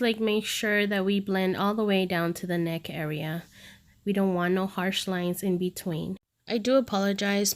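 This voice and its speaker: A woman's voice: medium pitch, gravelly. A woman in her thirties, a makeup artist with a stuffy nose from a cold, narrating a casual step-by-step tutorial voice-over. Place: home studio, recorded separately as voice-over.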